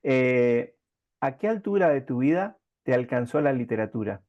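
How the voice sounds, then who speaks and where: A man's voice: mid-range voice, lively intonation, moderate pace. Muffled recording of a male interview host. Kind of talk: formal interview presentation with poetry recitation. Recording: muffled lo-fi sound.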